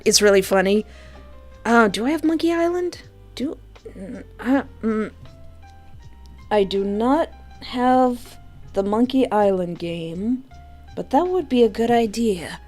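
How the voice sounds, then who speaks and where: Chatty woman livestreamer over background music. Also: on the high side. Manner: effusive, informal, reacting aloud to chat messages. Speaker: livestream host and game developer. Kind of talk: casual livestream chat with viewers. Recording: soft music behind the voice.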